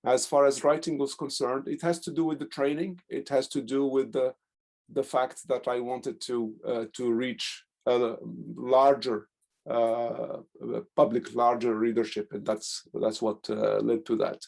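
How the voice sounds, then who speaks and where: A middle-aged man from Algeria, a guest speaker and poet answering audience questions in an online talk, pausing thoughtfully to search for words. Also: mid-range voice, flat delivery, gravelly, a little mellow. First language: Algerian Arabic (Darija). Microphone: video call.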